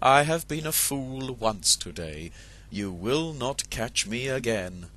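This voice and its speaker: A man's voice: mid-range voice, lively intonation, slow pace, fairly bright tone, a little hiss or hum. A man voicing a proud, vain male rooster who speaks in a bold, raised voice. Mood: defiant.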